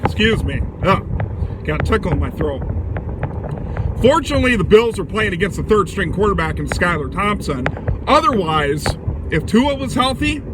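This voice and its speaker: A man records himself in a moving car, with clear vehicle noise around his voice. His voice sits a bit high and is loud. He talks in a casual, rambling way, giving blunt, opinionated sports commentary. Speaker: vlogger giving football commentary.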